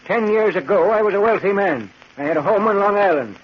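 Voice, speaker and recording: An actor playing a grieving, vengeful old man in a 1940s radio drama, speaking with grave, deliberate intensity. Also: high-pitched, lively intonation, breathy edge. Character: grieving, vengeful old man, once wealthy, coldly determined. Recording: muffled, hissy 1940s radio recording.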